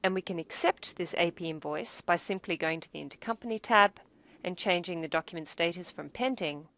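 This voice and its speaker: A woman narrating a scripted software tutorial as demo presenter, in a measured, explanatory way. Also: fairly low pitch.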